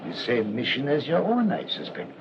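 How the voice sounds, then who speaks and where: Suave foreign clergyman, radio play, courteous, prying, animated pitch, dull hissy recording. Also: moderate pace. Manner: unctuous, courteous, probing. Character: suave, inquisitive foreign clergyman and self-styled collector.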